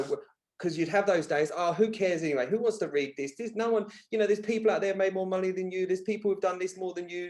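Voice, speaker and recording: Higher-voiced man telling candid stories. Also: darkish tone. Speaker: podcast guest, author. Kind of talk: candid conversational storytelling.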